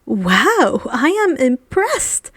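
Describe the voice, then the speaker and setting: A woman's voice: sits high, sing-song, extremely slow, a bit bright, breathy edge, dry recording, studio quality, full character voice. A woman playing a curious, dreamy teenage fairy girl with a lilting, musing way of talking. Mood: curious, impressed.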